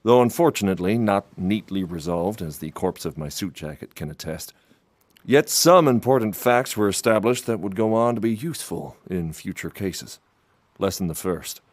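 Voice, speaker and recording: An actor playing a hapless, fussy private detective in an audio drama, wordy and formal with wry understatement. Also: male voice, low voice, expressive, normal speed, dry recording. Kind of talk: full-cast audio drama with noir-style narration.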